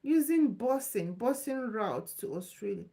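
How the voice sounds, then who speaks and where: A woman's voice: a little high, lively intonation, a little slow, mellow. Chatty woman on a live stream. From Nigeria. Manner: chatty, loose and repetitive, reassuring. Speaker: live stream host answering visa questions. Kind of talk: casual live Q&A.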